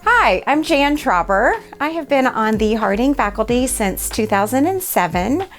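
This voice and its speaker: A woman introducing herself as a speech pathology professor in a warm, deliberate way. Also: fairly high pitch, big pitch swings, soft background music. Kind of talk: scripted self-introduction.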